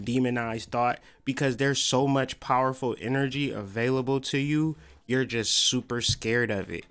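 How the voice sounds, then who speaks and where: A man's voice: mid-range voice, a bit bright, smooth. An American man hosting a podcast, talking in a loose, slangy, stop-and-start monologue about spiritual ideas. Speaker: podcast host.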